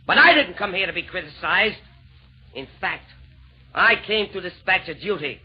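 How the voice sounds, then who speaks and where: An actor playing a villainous, pompous Roman emperor, declaiming rhymed verse in old-time radio comedy style. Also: male voice, sits high, moderate pace, slightly rough. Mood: impatient menace. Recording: dull, noisy vintage radio recording.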